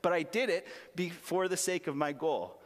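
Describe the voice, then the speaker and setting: A young man, a pastor with a raspy voice, preaching a sermon full of personal stories in an earnest, self-deprecating, conversational way. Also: fairly high pitch, moderate pace. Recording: long reverb of a large hall.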